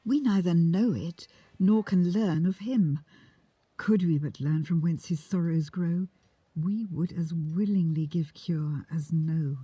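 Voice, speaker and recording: A woman's voice: fairly low pitch, slow, mellow, breathy edge, no echo. A woman playing an anxious noble mother in a Shakespearean audio drama.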